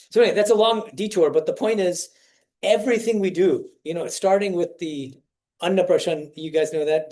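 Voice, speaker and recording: A man's voice: medium pitch, darkish tone. A man in his forties from the United States, a swami giving an informal spiritual talk to students and stopping often to ask them questions. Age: 40s. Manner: conversational, often restarts phrases and quizzes the listeners.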